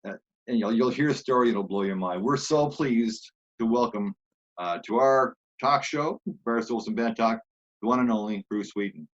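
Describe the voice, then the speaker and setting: A man's voice: medium pitch, moderate pace, muffled recording. A man co-hosting a music talk show, chatting casually as he introduces and questions a guest.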